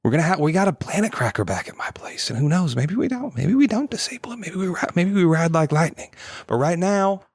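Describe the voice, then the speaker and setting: A man playing a reckless, smooth-talking hustler who rambles folksy pep talks. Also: a little high, sing-song, fast talker, no echo, voice pushed up.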